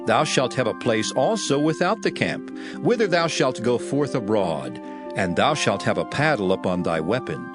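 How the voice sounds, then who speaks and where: A man's voice: mid-range voice, very animated, normal speed. A man reading scripture as a dramatized audio Bible narration, in a solemn, declamatory manner. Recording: music bed under voice. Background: music.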